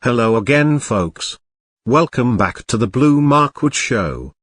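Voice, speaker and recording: A man's voice: medium pitch, lively intonation, slow, fairly bright tone, husky, soft background music. A man hosting a talk show, delivering scripted segments and announcements to viewers. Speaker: show host.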